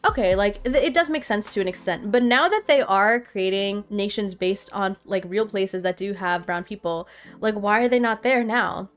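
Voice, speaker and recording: A young woman from Nepal, a student, talking earnestly to her viewers in a casual opinion vlog, filling her sentences with "like". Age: young adult. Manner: earnest, heavy use of "like", animated pitch jumps. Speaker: vlogger. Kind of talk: casual opinion talk to camera. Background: faint background music.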